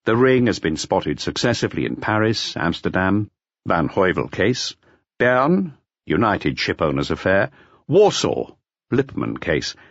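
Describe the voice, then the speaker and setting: A man's voice: deep voice, dry recording, dull audio. A man reading a detective novel aloud as a scripted audiobook narration.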